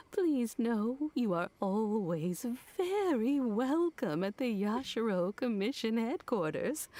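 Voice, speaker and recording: An actress playing a kindly, gracious older woman in video game dialogue, speaking with gentle politeness. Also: on the high side, no room sound. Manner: gentle, warm, polite hospitality. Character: kindly old gray-haired woman, gracious elder. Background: faint game music.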